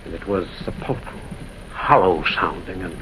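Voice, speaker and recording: An actor playing a concerned railwayman in an old radio drama, telling a ghostly encounter in a dramatic, deliberate, urgent way. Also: low voice, expressive, fairly bright tone. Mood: eerie, grave. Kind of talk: 1940s radio acting, dramatic first-person narration. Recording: dull, hissy old radio recording.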